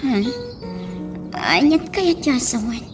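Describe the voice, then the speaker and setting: A woman's voice: sits high, expressive, takes it slowly, soft background music. An actress playing a troubled young woman who sounds startled and questioning. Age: young adult.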